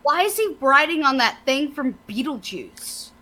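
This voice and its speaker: A woman in her thirties bantering casually as a podcast co-host, blunt, slangy and quick to swear. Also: high voice, big pitch swings, sharp tone.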